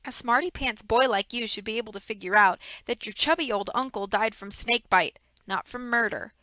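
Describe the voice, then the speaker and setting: A woman's voice: fairly high pitch, normal speed, sharp tone, no echo. A woman voicing a scheming, sneering grown man who taunts and threatens in a snarling, sarcastic way. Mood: taunting. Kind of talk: audiobook character reading.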